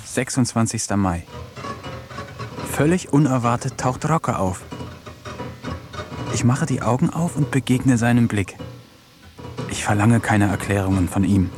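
A man's voice: medium pitch, expressive, unhurried, long pauses, lightly acted. Man as eccentric loner, radio play, sardonic, dry diary narration, mocking, music behind. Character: lonely eccentric village man, middle-aged, sardonic. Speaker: narrator and lead.

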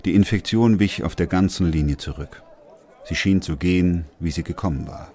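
An actor playing a composed, middle-aged doctor who narrates a plague chronicle in a radio drama, calm and sober. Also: male voice, sits low, moderate pace, lightly acted. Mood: sober narration.

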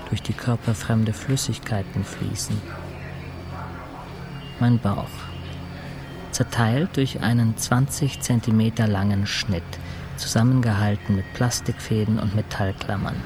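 A man playing an intensive-care patient in a radio drama, soberly reporting his own state in measured, clinical, clipped sentences. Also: fairly low pitch. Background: music.

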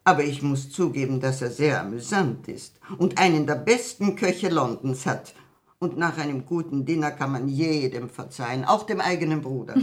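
A dry, low-voiced aristocratic lady. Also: lively intonation, moderate pace. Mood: dry, condescending. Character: dry, matter-of-fact aristocratic society lady, gossipy and imperious. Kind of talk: 1960s German radio play acting.

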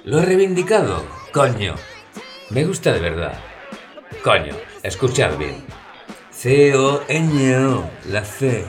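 A man's voice: medium pitch, big pitch swings, takes it slowly, faint music behind. A man playing a bold, cheeky, outspoken member of a comic discussion circle, with a playful, emphatic delivery. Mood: relishing, emphatic. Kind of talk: comic theatrical audio drama.

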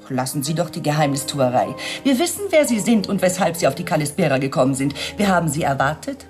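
A cool female henchwoman in a radio thriller. Her voice sits fairly low and moves expressively, wrapping threats in sardonic courtesy. Faint music is behind her. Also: very animated, quick pace, darkish tone. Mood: cool, commanding. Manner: mock-polite, commanding, sardonic. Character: cool menacing female henchwoman posing as attendant. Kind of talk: sci-fi crime radio play.

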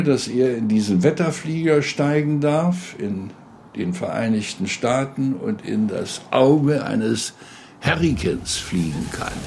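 A man's voice: mid-range voice, gravelly, a little mellow, slight background noise. A man commenting in a radio feature, telling a life story dramatically in the present tense. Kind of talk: spoken commentary telling a biography. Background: music.

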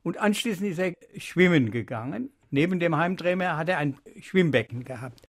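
An older man reminiscing in an interview, with halting, emphatic phrasing. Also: a little high, animated. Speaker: interviewee, former radio drama editor.

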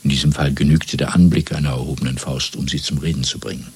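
Radio drama villain: coldly methodical party interrogator, very low register, heavily husky. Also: male voice, fairly even intonation, darkish tone, heavily acted. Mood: methodical, menacing. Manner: measured, formal, probing with rhetorical questions. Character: calm, cold male party interrogator, methodical and menacing. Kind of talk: German radio play acting. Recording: restored 1970s radio drama recording.

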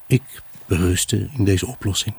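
A brooding, troubled husband in a radio drama. His voice is low and husky, with a rough grain. He recounts his unhappy marriage as an intimate dramatic monologue. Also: measured pace, no room sound, full character voice. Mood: reflective, weary. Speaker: actor narrating a radio drama. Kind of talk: dramatic first-person monologue in a Dutch radio play.

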